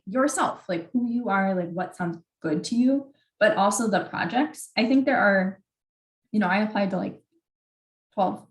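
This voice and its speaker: A young adult woman giving informal advice as a graduate student mentor in a workshop, conversational and candid, often saying "like". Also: mid-range voice. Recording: roomy, echoey sound.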